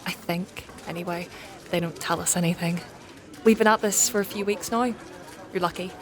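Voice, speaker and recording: An actress playing a helpful, matter-of-fact female soldier in a dark fantasy audio drama, informal and obliging in manner. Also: fairly low pitch, fairly even intonation, moderate pace, a little hiss or hum, lightly acted. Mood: resigned. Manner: plain-spoken, informal, obliging.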